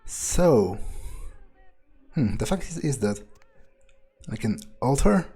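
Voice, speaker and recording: A man role-plays his character through a video game, thinking aloud. His voice is dark and relaxed at a middle pitch, his manner blunt and sweary, with music underneath. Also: takes it slowly, breathy edge. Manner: profane, blunt, hesitant musing.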